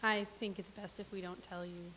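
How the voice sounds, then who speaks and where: A woman's voice: fairly low pitch, level tone, moderate pace, bright, forceful tone, poor audio. Woman reading a reluctant, evasive knower; medium voice, light hiss. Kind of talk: staged play reading.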